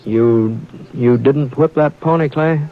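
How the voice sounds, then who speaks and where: An actor playing a calm, steady frontier rancher who narrates, speaking in a soothing drawl in a 1950s radio drama. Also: male voice, mid-range voice, slow pace, lightly acted. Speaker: narrator and lead. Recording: dull old radio broadcast.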